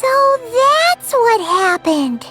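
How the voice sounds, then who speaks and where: A very high, piping little companion, swooping up and down as she peppers everyone with questions. She draws out her words slowly in this game cutscene, keen and inquisitive. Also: sing-song, fairly bright tone, faint music behind, exaggerated voice. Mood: surprised. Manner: curious, quick to ask questions. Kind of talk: video game cutscene dialogue.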